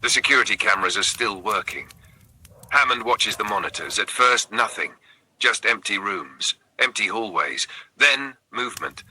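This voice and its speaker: Guy doing spooky scripted narration, lowish husky voice w really sharp edge, suspenseful pauses. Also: animated, poor audio. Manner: suspenseful, short phrases with dramatic pauses. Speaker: narrator of a horror storytelling channel.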